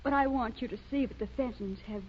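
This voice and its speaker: A woman's voice: a little high, fast, slightly rough. An actress playing an earnest, anxious, guilt-burdened young woman in a 1930s radio melodrama. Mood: remorseful. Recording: muffled, noisy 1930s radio recording.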